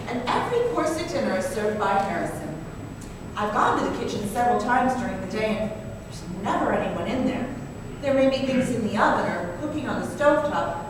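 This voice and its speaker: A woman's voice: medium pitch, natural voice. Woman acting a naive young wife, echoey hall. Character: naive, anxious wealthy young wife confiding her worries. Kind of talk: old-time radio drama performance. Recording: noisy, echoey hall from far off.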